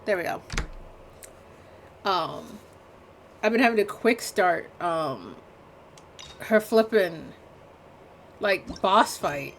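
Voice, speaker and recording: A woman's voice: lowish voice, animated, drawn-out words, vocal fry, pauses often, slight background noise. A woman livestreamer giving casual gameplay commentary in a dry, offhand, rambling way.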